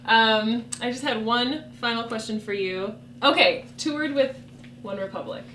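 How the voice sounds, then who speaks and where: A woman's voice: a little high, measured pace, bright, forceful tone. A woman asking questions as a casual, informal interviewer. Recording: echoey, noisy, distant microphone.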